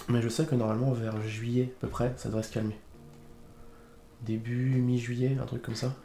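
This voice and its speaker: A man with a blocked nose from a cold talks at a middle pitch, rambling loosely to his stream audience with plenty of hesitant fillers. His desk mic picks up a room with a noticeable echo, and a faint hiss sits underneath. It sounds like a casual home stream. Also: flat delivery, measured pace, vocal fry, pauses often, mellow. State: congested with a cold. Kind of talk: casual live-stream chat.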